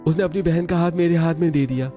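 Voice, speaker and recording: A man's voice: a little high, fast, natural voice. A man playing an earnest, dutiful young son in a cartoon family story. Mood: earnest, apologetic. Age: young adult. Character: dutiful, earnest newly married son explaining himself. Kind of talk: Hindi cartoon story voice acting. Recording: dull lo-fi audio over music.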